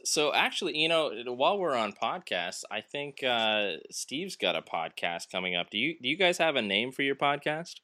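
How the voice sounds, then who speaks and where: A man's voice: medium pitch, big pitch swings, normal speed, few pauses, sharp tone, no room sound. A man hosting a podcast in casual chat, joking and rambling with lots of fillers. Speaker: podcast host.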